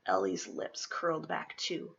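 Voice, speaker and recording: A woman reading a children's historical story aloud, expressively and dramatically, with emphatic swells and hushed drops. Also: low voice, lively intonation, measured pace, creaky, breathy edge, lo-fi sound. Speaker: storybook reader.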